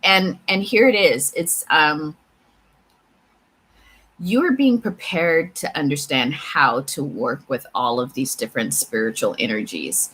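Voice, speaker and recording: A woman tarot reader speaking reflectively and haltingly to her viewers, repeating phrases for emphasis as she gives intuitive spiritual guidance. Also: deep voice, lively intonation, a bit bright, husky, low-quality recording. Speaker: tarot reader and channel host.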